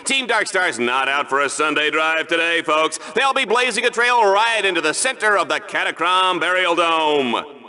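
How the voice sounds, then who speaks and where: A man's voice: on the high side, expressive, normal speed, sharp tone. A man playing a smarmy, gleeful announcer of a deadly combat sport in a video game, with showy, mock-dramatic delivery. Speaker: sports show host.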